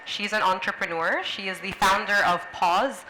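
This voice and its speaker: A woman's voice: mid-range voice, normal speed, pushed and bright, slight background noise, low-quality recording. A woman moderating a panel, reading out a panelist's introduction. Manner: announcing, reading a bio.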